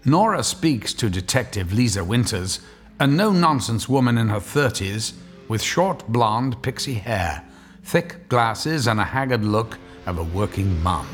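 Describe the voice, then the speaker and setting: A man's voice: on the low side, expressive, unhurried, faint music behind. A man narrating a scripted audio drama in a storytelling style.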